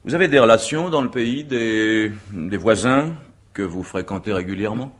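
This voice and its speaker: An actor playing a courteous, methodical examining magistrate in a radio mystery drama, speaking in formal, measured, legalistic phrases. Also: male voice, fairly low pitch, lightly acted. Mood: probing, methodical.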